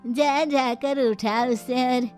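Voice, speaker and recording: Woman acting a slow, fretful cartoon mother-in-law. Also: sits high, steady tone, a little mellow, soft background music. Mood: exasperated. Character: exasperated older mother-in-law. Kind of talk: cartoon story character acting.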